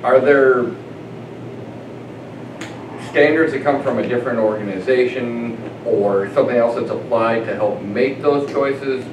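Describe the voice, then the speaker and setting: A man in the audience asking a thoughtful, measured question at a community panel. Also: medium pitch. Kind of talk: question from the floor. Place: event room at a community panel. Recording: echoey, distant, noisy.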